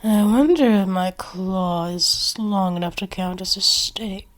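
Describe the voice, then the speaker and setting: Female ASMR roleplay performer, slow, acted delivery. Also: mid-range voice.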